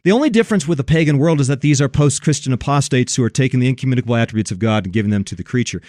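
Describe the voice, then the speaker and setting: A man's voice: medium pitch, very fast, darkish tone, no echo, clean studio sound. A man giving sermon-like religious commentary and scripture readings, preachy and emphatic, repeating phrases for weight.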